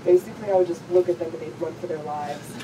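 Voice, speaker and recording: Woman playing low-voiced cocky winged hunk. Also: fast, lightly acted. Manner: boastful, cool, self-satisfied. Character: cocky, ripped winged male creature, boastful flirt. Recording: clear vehicle-like background noise.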